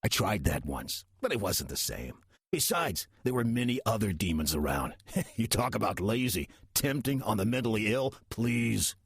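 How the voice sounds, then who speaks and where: An actor playing a slothful demon posing as a friendly churchgoer, casual, sardonic and persuasive, with a rough, husky voice in an audio drama. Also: male voice, lowish voice, very animated, moderate pace, no room sound, studio quality, heavily acted.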